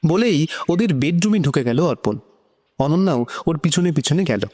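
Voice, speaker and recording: A man's voice: on the high side, animated, moderate pace, warm tone, slight background noise. A man narrating an emotional romantic story from a script.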